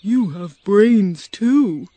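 A woman's voice: mid-range voice, animated, drawn-out words, dark tone, dry recording, voice pushed up. A woman voicing a friendly male scarecrow in a storybook reading, plain and earnest.

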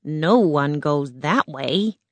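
Narrator doing a mocking male gatekeeper, slow, measured, dry sound. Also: medium pitch, expressive, no room sound. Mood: ominous.

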